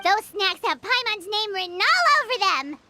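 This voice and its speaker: Picture a tiny floating companion with a very high, springy voice that leaps all over the place. She is excitable and always hungry for snacks, and she refers to herself in the third person in a game cutscene. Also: moderate pace, slight background noise, full character voice. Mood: excited. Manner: talks about herself in third person, eager.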